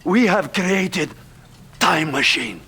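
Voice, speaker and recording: Casting: agitated Soviet scientist, Russian accent, notably high voice, pleading game-cutscene delivery. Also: male voice, animated, slow pace, sharp tone, breathy edge, exaggerated voice. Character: anxious older defecting Soviet scientist, male. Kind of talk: dramatic video game cutscene acting.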